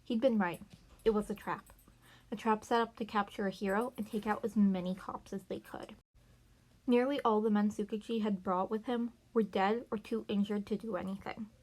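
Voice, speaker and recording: A woman's voice: medium pitch, fairly even intonation, moderate pace, a little mellow. A woman reading fan fiction narration aloud as an amateur podfic reader. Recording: noisy amateur recording with birds chirping.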